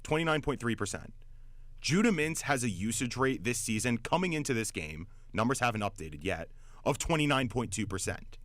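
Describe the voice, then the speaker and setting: A man's voice: mid-range voice, lively intonation, fairly quick, a bit bright, no room sound. A man hosting sports talk radio, giving opinionated analysis emphatically and often repeating words as he gets going. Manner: emphatic, stammering repetitions. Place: radio studio.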